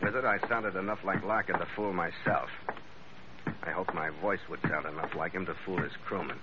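A man's voice: deep voice, steady tone, brisk, lightly acted. An actor playing a tough, hard-boiled American sea captain who narrates his own radio adventure in clipped, wry words. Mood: terse. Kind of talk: 1940s-50s radio adventure acting. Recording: muffled, very noisy vintage radio recording.

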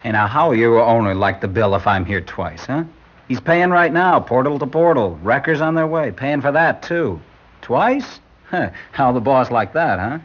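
Animated, pushy tradesman played by an actor. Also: medium pitch, normal speed, close to an everyday voice. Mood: impatient. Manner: impatient, slangy, brash. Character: pushy, wisecracking working-class male electrician. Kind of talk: classic radio drama acting. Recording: dull old radio recording.

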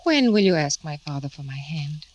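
A woman's voice: low voice, expressive, normal speed, a little mellow, dry recording. A woman playing a proud, imperious seventeen-year-old Russian general's daughter in an old-time radio drama, haughty and dramatic. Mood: demanding.

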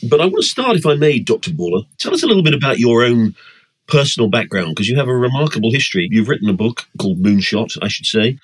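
A man hosts an interview show, putting questions to his guest in a measured, formal and courteous way. His voice sits in the middle range and carries a husky, breathy grain. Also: mid-range voice, very animated. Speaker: podcast host, interviewer. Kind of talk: interview hosting.

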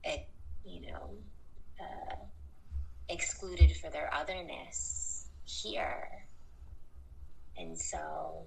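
A woman speaking as a guest in a casual conversation, soft and hesitant, trailing off into whispers. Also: low-pitched, vocal fry, slight background noise, poor audio. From United States.

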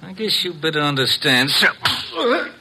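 A man's voice: a little high, very animated, normal speed, gravelly, bright, forceful tone, exaggerated voice. A man playing a surly, mean, threatening tough thug in an old-time radio crime drama. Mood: menacing. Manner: slangy, threatening tough talk. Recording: very noisy old radio broadcast.

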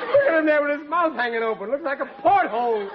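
Blustering Brooklyn husband, noisy old recording. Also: male voice, very high-pitched, fast talker, a loud crowd. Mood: gleeful, mocking. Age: middle-aged. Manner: blustering, slangy, excitable. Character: loud, goofy, forgetful middle-aged husband and father. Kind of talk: 1940s radio sitcom acting. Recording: muffled, very noisy vintage radio recording.

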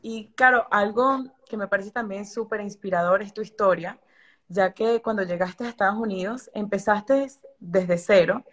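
A woman interviewer with a mid-range voice. She keeps up supportive, affirming interjections and asks her questions calmly. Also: medium pitch, normal speed, lo-fi sound. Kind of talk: conversational interview.

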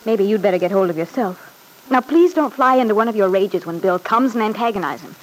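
A woman's voice: mid-range voice, expressive, fairly quick, natural voice, poor audio. An actress playing a wealthy, anxious young woman in a classic radio soap, nervous, pleading and hesitant as if hiding something. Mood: urgent, cautioning. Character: anxious, edgy wealthy daughter with something to hide.

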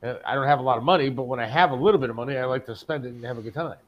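A man's voice: medium pitch, very fast, dull audio. An American man chatting casually as a talk show co-host, profane and given to complaining. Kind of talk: casual chat.

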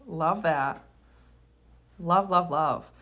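A woman's voice: lowish voice, very slow, sharp tone. A woman hosting a podcast who reads a scripted welcome and then questions a guest, answering her with brief affirmations. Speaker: podcast host. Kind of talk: podcast interview.

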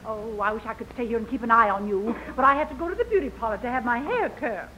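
A bossy landlady in 1940s radio comedy. Her high, sharp voice is stern and irritated, with wide swings. The old recording is muffled. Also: lively intonation, fast talker, slight background noise.

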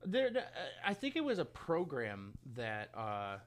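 A man chatting casually as a podcast co-host, halting and rambling as he corrects himself along the way. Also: mid-range voice, huge pitch swings, dry recording. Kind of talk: casual chat.

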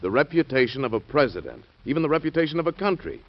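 An actor playing a devoted, honorable husband and cabinet member in an old radio drama, speaking tenderly and earnestly. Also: male voice, on the high side, big pitch swings, fast talker. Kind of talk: 1940s radio acting. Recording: dull lo-fi old radio recording.

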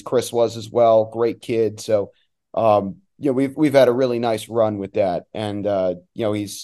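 A man's voice: lowish voice, warm tone. A man talking as a sports announcer guest, giving emphatic, opinionated analysis in a conversational way.

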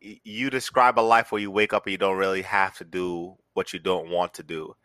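A man's voice: sits low, fairly even intonation, fairly quick, sharp tone, clean tone, dry recording. A man hosting a podcast, interviewing in a casual, conversational way. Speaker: podcast host.

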